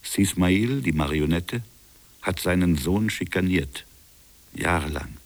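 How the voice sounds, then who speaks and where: A solemn colonial official in a radio drama, really low voice, measured and deliberate storytelling. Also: male voice, expressive, heavily acted. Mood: grave, reflective. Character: grave colonial official recounting a buried crime. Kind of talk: literary radio drama monologue.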